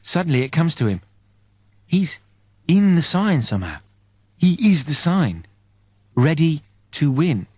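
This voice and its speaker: A man's voice: fairly high pitch, very animated, measured pace, long pauses, darkish tone, husky, dry recording, clean studio sound. A man narrating a story in the present tense as a dramatic audiobook reader.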